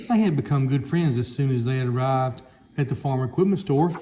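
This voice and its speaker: A man's voice: medium pitch, dark tone, a little hiss or hum. A middle-aged man speaks tersely and plainly, in casual replies and in reading a children's storybook aloud, recorded on a phone.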